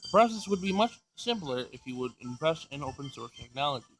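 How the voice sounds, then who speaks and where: A man's voice: a little high, animated, fast, a bit bright, poor audio. A man voicing a polite, literal-minded robot assistant from a visual novel, speaking in a precise, formal way. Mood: matter-of-fact. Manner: precise, formal, matter-of-fact. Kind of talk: visual novel character reading.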